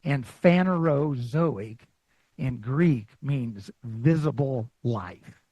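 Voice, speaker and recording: Husky-voiced man giving a slow, rambling talk. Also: medium pitch, no room sound, rough recording. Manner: relaxed, rambling, many fillers and restarts; self-deprecating. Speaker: guest lecturer. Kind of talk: public science talk with slides.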